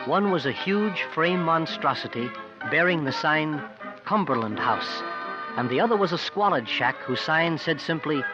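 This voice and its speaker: A man's voice: fairly high pitch, animated, moderate pace, lightly acted. Man playing an earnest young companion, old radio sound. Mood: descriptive, storytelling. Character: young male traveling companion, earnest narrator of tale. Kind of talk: 1950s radio drama narration and acting. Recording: dull vintage radio recording with music.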